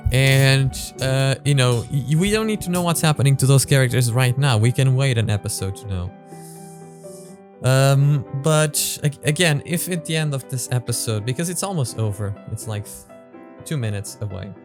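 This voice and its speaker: Male reaction host, average pitch, casual and halting commentary with repeated words, light music underneath.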